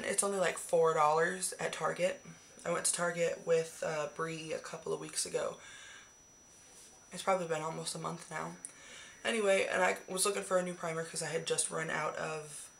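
Hoarse, gravelly-voiced woman vlogging from bedroom. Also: deep voice, a bit bright, slight background noise. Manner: chatty, swears casually, rambling. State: hoarse, recovering from flu. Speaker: beauty vlogger. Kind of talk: casual monthly favorites chat. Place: small decorated bedroom, indoors. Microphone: webcam at arm's length.

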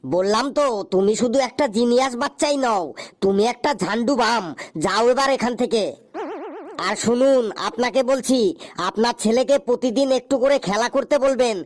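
An actor playing a sarcastic, exasperated doctor in an animated comedy sketch, with a theatrical, scolding delivery. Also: medium pitch, brisk, lightly acted. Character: exasperated, sarcastic cartoon doctor fed up with silly patients.

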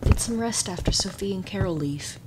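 A woman's voice: mid-range voice, brisk, background noise, lightly acted. A woman playing a soothing, devoted mother in a comic dub drama.

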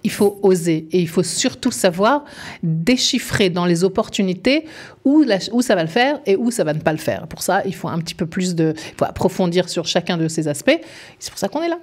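A woman in her fifties speaks into a studio microphone with a lowish voice, earnest and persuasive as she lays out advice. She sounds like an employment advisor giving a radio interview. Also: expressive, a little fast.

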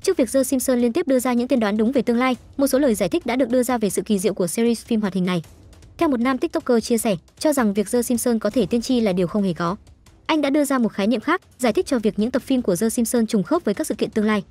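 A woman's voice: a little high, fast, mellow. A woman reading scripted entertainment news as a narrator. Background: background music.

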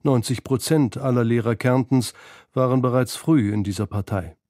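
A man narrating a scripted radio documentary in a measured, analytical manner, close to a studio microphone. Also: medium pitch, warm tone, dry recording. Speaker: feature narrator.

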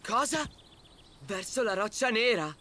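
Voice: medium pitch, very animated, unhurried, bright, forceful tone, a little hiss or hum. An actor voicing a brave, protective young male tiger cub, earnest and urgent, in a children's cartoon. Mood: worried. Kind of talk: animated children's cartoon dubbing.

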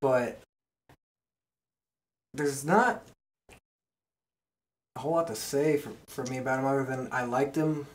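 A man's voice: medium pitch, steady tone, unhurried, long pauses. A man hosting a wrestling podcast, chatting casually and informally with co-hosts. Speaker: podcast host.

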